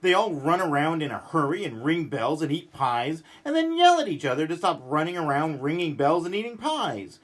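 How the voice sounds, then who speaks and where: A man putting on a cranky, ranting storybook grump, high-pitched. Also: big pitch swings, moderate pace, hardly any pauses. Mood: grumpy complaining. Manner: exasperated, ranting, emphatic. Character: cranky, grumbling, stubborn man who hates everything. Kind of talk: animated storybook read-aloud.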